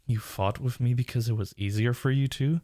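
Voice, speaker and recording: A man's voice: mid-range voice, brisk, warm tone, dry recording, studio quality, lightly acted. A man playing a smitten, teasing workplace rival turned lover in an intimate romantic audio roleplay, murmuring tenderly and breathily. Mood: earnest, incredulous.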